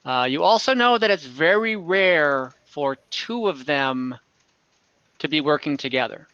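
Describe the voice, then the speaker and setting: A man with a bright, fairly high voice acts as game master, improvising story details in halting stretches. His tone is smooth and clean, recorded dry and close, though slightly dulled by online call audio. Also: expressive, no echo, dull audio. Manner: halting, pausing mid-sentence as he improvises.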